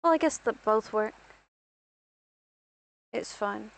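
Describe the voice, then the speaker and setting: A woman's voice: medium pitch, animated, slow, creaky. A young woman from Ireland rambling through casual gameplay commentary as a streamer, self-deprecating and talking to herself.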